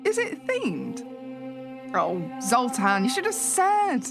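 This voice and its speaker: A woman's voice: fairly high pitch, huge pitch swings, slow pace, gravelly, exaggerated voice. A woman playing a skeptical, sardonic assistant hotel manager from London in a radio play, sometimes hesitant when flustered. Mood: uneasy, faltering. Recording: music behind the voice.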